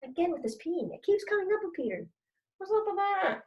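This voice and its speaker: A woman reads a story aloud as a teacher, pausing to explain her thinking informally. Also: extremely high pitch, very animated, darkish tone, muffled recording. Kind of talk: read-aloud with thinking-aloud commentary. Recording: echoey room.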